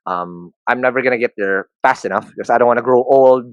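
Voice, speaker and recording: A man's voice: medium pitch, quick pace, clean tone, no room sound, highs cut off. A man in his thirties with a Filipino accent, a podcast guest talking candidly and joking about himself. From Philippines. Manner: self-deprecating, joking, frequent restarts. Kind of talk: candid conversational interview.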